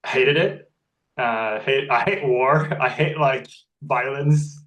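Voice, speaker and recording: A man's voice: medium pitch, takes it slowly, fairly bright tone, roomy sound, far from the mic, rough recording. A young man from Norway, an actor talking earnestly in a casual interview, often restarting his phrases. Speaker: actor and model, interview guest. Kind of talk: reflective casual conversation.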